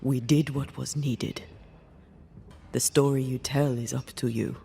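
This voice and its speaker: Watchful ghost hunter for a game: creaky mid-range voice, dry and quietly probing. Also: male voice, medium pitch, fairly even intonation, measured pace, a little hiss or hum. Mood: dry, matter-of-fact. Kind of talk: dramatic video game acting.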